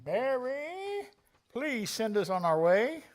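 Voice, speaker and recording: A man performs a showy fairy-tale host for a stage parody. The voice sits high and swings widely in pitch, announcing every turn with theatrical emphasis. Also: very animated, slow, heavily acted. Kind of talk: theatrical scripted narration.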